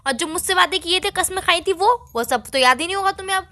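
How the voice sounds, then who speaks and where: Girl playing jealous young girlfriend, super high piercing voice, rapid sarcastic scolding. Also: bright, forceful tone. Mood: angry, accusing. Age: young adult.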